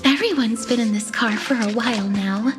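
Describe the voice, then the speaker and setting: A woman playing a gentle, polite young woman in a video game, soft-spoken and composed. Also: on the high side, moderate pace, long reverb tail, music in the background, close to an everyday voice. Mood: reassuring.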